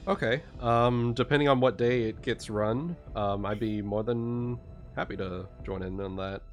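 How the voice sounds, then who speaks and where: A man chatting casually as a livestream host, explaining things in a halting, stop-and-start way. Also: mid-range voice, soft background music. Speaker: livestream host and game master.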